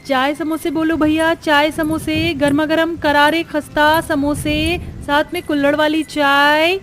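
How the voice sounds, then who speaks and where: A hardworking young daughter-in-law played by a woman storyteller with a high voice. She calls out her wares in a sing-song street vendor's cry, over background music, in a cartoon moral tale. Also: level tone, unhurried, fairly bright tone. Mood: eager hawking.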